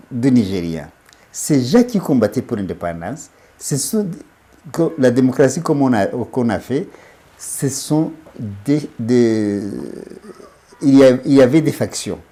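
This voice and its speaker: A man speaks emphatically, restarting and repeating phrases as he builds a point. His voice is mellow and dark, at a middle pitch. He sounds like a guest in an interview. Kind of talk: interview, political commentary.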